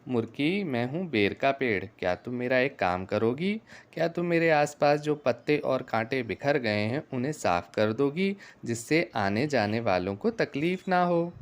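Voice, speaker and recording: An actor voicing a solemn, stern talking tree or mound in a children's folk tale cartoon, slow and calling out. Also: mid-range voice, expressive, natural voice. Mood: calling, requesting. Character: talking jujube tree and dirt mound, stern male spirits.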